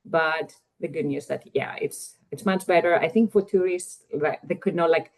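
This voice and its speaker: A woman's voice: sits low, moderate pace. A woman from Greece with a Greek accent, chatting as a guest on an interview show and filling her sentences with "like". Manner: eager, leans heavily on "like" fillers. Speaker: guest economist. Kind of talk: casual interview chat.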